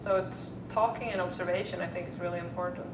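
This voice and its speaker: A woman's voice: steady tone, fast talker. A woman taking part in a panel discussion, making her points conversationally. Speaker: panel participant. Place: hotel conference room. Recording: distant, echoey room recording with rumbling noise.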